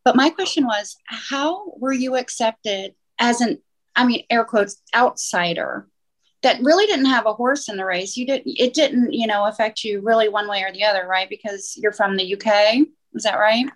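A woman's voice: fairly high pitch, normal speed, poor audio. A woman co-hosting a podcast, asking chatty, hesitant interview questions. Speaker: podcast co-host. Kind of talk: casual interview.

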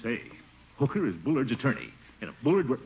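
Pompous grumbly uncle, voice swoops all over, old noisy radio comedy. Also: male voice, mid-range voice, sing-song, quick pace. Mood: suspicious, scheming. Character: blustery, fretful middle-aged uncle, pompous and easily flustered. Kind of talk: 1950s radio comedy acting. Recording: muffled, noisy old 1950s radio recording.

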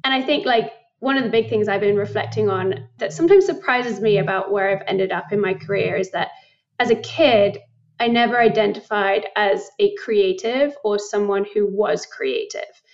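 A young woman from England, a company founder talking in a casual interview, thoughtful and quick to laugh. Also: medium pitch, highs cut off. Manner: reflective, laughs often, many likes. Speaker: guest, startup founder.

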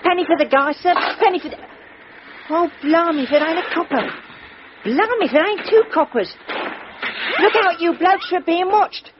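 A woman's voice: very high-pitched, normal speed, long pauses. A woman voicing a cheeky street kid in a 1950s radio drama. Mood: mocking. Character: cheeky cockney street kid begging pennies. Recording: lo-fi old radio recording with loud hiss.

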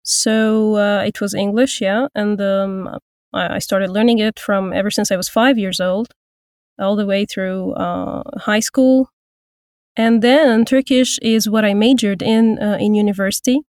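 A woman in her thirties from Egypt, a voice actor and language teacher, speaking thoughtfully with frequent pauses and restarts in an interview. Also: mid-range voice, steady tone, darkish tone, dry recording, clean studio sound. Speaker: guest, voice actor and Turkish teacher. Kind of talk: casual interview.

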